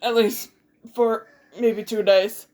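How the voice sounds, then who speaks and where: A woman's voice: a little high, steady tone, slow, a little mellow. A woman doing casual Let's Play commentary as host, playful and rambling with sing-song exclamations. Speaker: gaming video host.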